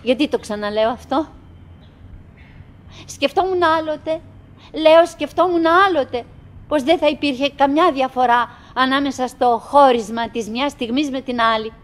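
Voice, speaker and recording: An actress playing a wistful, chattering middle-aged wife in a theatrical radio monologue, in broken, repetitive phrases with dramatic pauses. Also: female voice, high voice, lightly acted. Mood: weary, musing. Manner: fragmented, repetitive, dramatic pauses with sudden swells.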